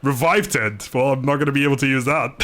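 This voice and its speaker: A man's voice: mid-range voice, normal speed, fairly bright tone. A man doing casual live game commentary, chatting informally with viewers, joking and swearing mildly. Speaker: game streamer.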